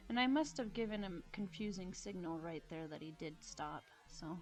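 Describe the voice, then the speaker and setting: A woman narrating a video of her horse's training in a relaxed, halting way with many fillers, sniffling a little. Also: fairly low pitch, a little hiss or hum, poor audio. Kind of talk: casual voice-over commentary.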